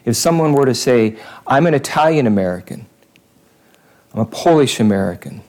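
A man's voice: medium pitch, lively intonation, moderate pace, darkish tone. A male pastor giving a conversational sermon in church, repeating phrases for emphasis.

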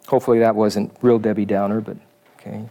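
A man preaching a sermon in a thoughtful, measured way, pausing to read quotations aloud. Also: on the low side, flat delivery, warm tone.

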